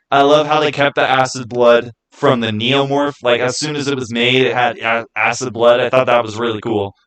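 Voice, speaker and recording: A man's voice: mid-range voice, fairly bright tone, rough recording. Man chatting casually on a livestream. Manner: halting, rhetorical questions to viewers. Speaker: livestream host.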